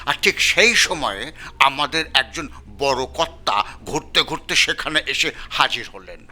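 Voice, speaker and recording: Humble, sharp-voiced night watchman. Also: medium pitch, animated, unhurried, heavily acted. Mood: humble, earnest. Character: humble male night-watch orderly reporting to police. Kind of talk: dramatic audio story acting.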